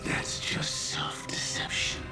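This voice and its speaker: A man playing a solemn, courteous, fatalistic antagonist in a video game, speaking in formal, measured pronouncements. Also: natural voice. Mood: cold, fatalistic. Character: grave, courteous, fatalistic male antagonist passing judgment. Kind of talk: dramatic video game voice acting. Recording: echoey, distant, music underneath. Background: game music.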